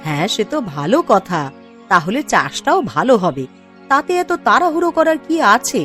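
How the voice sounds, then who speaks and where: A woman as a fussing, animated village wife. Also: a little high, moderate pace, soft background music. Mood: caring, chiding. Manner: fussing, coaxing. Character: caring, gently chiding young farmer's wife. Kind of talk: Bengali cartoon moral story acting.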